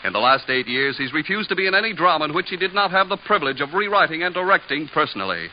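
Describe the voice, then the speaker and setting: Higher-voiced American vintage radio announcer man. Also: big pitch swings, a little hiss or hum. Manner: mock-solemn, deliberate. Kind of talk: scripted vintage radio comedy narration. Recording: dull, lo-fi old radio broadcast.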